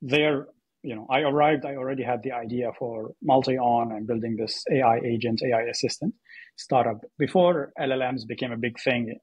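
A man from Syria with a very husky, breathy voice talks as an interview guest. He tells his story conversationally and haltingly, repeating words as he goes. The sound is close and dry. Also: medium pitch, fairly even intonation, no echo, poor audio. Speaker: podcast guest, AI startup co-founder.

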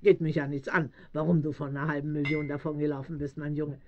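A woman's voice: sits low, little pitch movement, quick pace, a little hiss or hum, lightly acted. An actress playing a fat, motherly, temperamental older country woman in an audio drama, exclaiming and chuckling. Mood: emphatic, affectionate. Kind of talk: 1970s German radio play acting. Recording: dull vintage audio-drama recording.

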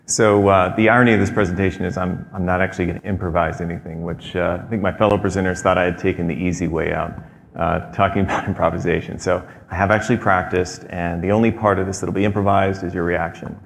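Low-voiced man giving a stage talk. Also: level tone, gravelly, slight background noise. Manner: measured, explanatory, with frequent fillers. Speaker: presenter. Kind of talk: prepared talk. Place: large theater stage. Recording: long hall reverb.

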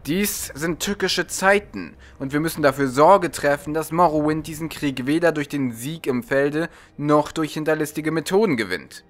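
A man's voice: on the high side, normal speed, hardly any pauses, voice pushed up, natural voice. A man voicing a courtly, calculating serpent-man advisor in a formal, deferential audiobook manner. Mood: counseling, courteous.

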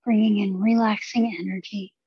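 A woman teaching meditation, leading a guided body scan with gentle, unhurried instructions and long pauses. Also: mid-range voice, little pitch movement, slightly rough, dry recording, lo-fi sound.

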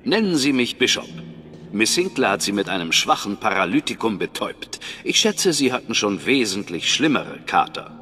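A man's voice: lowish voice, very animated, moderate pace, pushed and bright. A man voicing a suave, menacing English intelligence officer with formal, mocking politeness in a German video game. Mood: coolly polite. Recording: reverberant hall, music behind.

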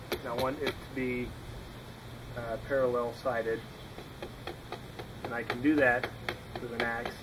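A man's voice: medium pitch, noisy background. Man demonstrating hatchet work outdoors, level voice. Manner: plain, unhurried, explaining while chopping. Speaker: woodworking instructor. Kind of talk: casual how-to demonstration. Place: outdoors in a yard by a woodpile.

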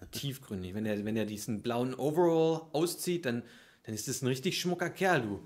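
Man acting an animated, halting small-town worker. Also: medium pitch, lightly acted. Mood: admiring. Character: contented, worried male bottling worker, easygoing friend. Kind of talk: improvised radio play. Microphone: stand microphone.

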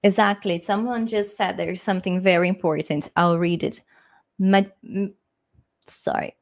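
A young woman from Brazil with a Brazilian Portuguese accent, giving English learning tips on a live stream in a small home room, pausing often with ums and restarts. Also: fairly low pitch, fairly even intonation, gravelly. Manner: hesitant, many ums and restarts, friendly. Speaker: English teacher. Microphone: webcam framing, desk mic.

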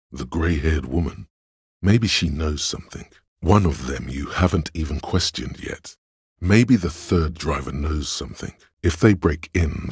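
An extremely deep, husky voice of cold reason inside a detective's head, from a video game. He narrates in a measured, deliberate, detached manner, with heavy gravel and a very rough rasp, recorded dry and close. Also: no echo, exaggerated voice.

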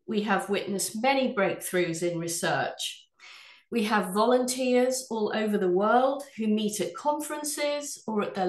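A woman from England with an English accent, head of sales, presenting a webinar from her office in a measured, polished way. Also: medium pitch, echoey room. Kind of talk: scripted product presentation.

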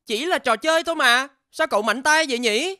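A reproachful child playmate in an animated fairy tale. The delivery is very quick and bouncy, swinging up and down in a fairly high voice. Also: female voice, no echo, clean studio sound.

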